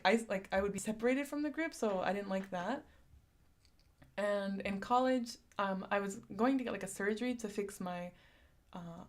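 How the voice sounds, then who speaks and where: A young woman from San Diego with a lisp, chatting casually on a live stream, reading chat comments aloud and laughing often. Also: mid-range voice. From San Diego, USA.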